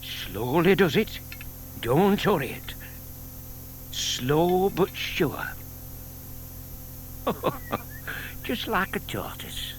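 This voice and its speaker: An actor playing a shy, kindly older British gentleman with a secret scheme, polite and given to muttering, in an audio drama. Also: sits high, big pitch swings, very slow, long pauses, exaggerated voice. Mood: absorbed, careful. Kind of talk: audio theatre acting. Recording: faint noise, 1990s tape dramatisation.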